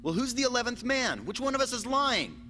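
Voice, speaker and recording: A man voicing a stern, suspicious, authoritative young captain in an animated sci-fi dub. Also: extremely high pitch, brisk, exaggerated voice. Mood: demanding. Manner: authoritative, terse. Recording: reverberant dub track with music underneath.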